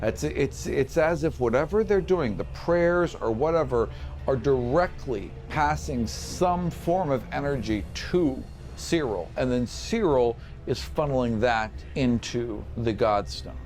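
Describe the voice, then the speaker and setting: A man working as a game master, describing scenes for a tabletop fantasy game in short, halting phrases. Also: mid-range voice, animated, darkish tone, faint music behind. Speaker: game master and podcast host.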